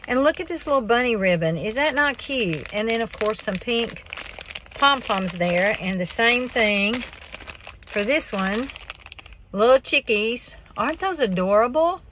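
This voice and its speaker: A woman's voice: fairly high pitch, expressive, measured pace, fairly bright tone. A woman, chatting casually in a folksy, rambling way as she shows things on a craft vlog. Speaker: craft vlogger. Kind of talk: casual chat, show-and-tell. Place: inside a parked car on a rainy day. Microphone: phone at arm's length. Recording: noisy car phone audio, crinkling packaging. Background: clear noise, crinkling and clicks.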